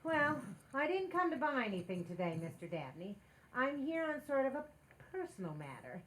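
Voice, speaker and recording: A woman's voice: high voice, big pitch swings, moderate pace, a bit bright, slight background noise, poor audio. A woman playing a playful, meddling married housewife in 1940s radio comedy style, with an emphatic theatrical delivery. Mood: awkward, coaxing.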